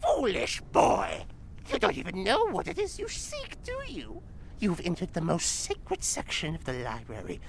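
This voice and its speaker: A woman's voice: lowish voice, huge pitch swings, measured pace, a little hiss or hum, full character voice. A woman playing a pompous, menacing old demon lady with florid, archaic speech in an audio drama. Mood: grandiose menace. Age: older.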